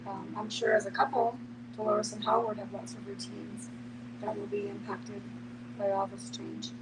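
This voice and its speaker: A woman's voice: mid-range voice, little pitch movement, normal speed, very noisy. A woman facilitating a group discussion in a conversational way, putting questions to the group and inviting responses.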